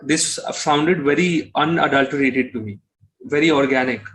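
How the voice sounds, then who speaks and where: A man's voice: medium pitch, moderate pace, a bit bright. A young man with an Indian accent answering casually as an interview guest, explaining things reflectively. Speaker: interview guest, singer-songwriter.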